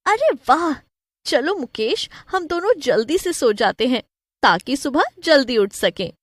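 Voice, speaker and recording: A woman voicing an excitable, anxious young wife in a cartoon, emotional and full of exclamation. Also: high voice, a little slow, no room sound, studio quality, pitched above the performer's own voice. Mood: excited.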